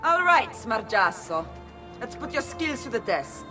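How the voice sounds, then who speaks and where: A young Italian thief from a video game, cocky and teasing as she coaches. Her intonation is lively and swooping, with prominent music underneath. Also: a little high, exaggerated voice. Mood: teasing, instructive. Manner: brisk, teasing, instructive. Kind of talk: video game cutscene acting. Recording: loud music behind.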